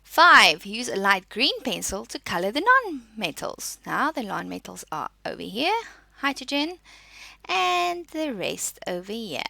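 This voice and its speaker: Bright-voiced woman teaching a school lesson. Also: mid-range voice, sing-song, a little slow, creaky, dry recording. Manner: instructional, poses questions and answers them. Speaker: science teacher. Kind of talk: school lesson, reading notes and explaining exercises.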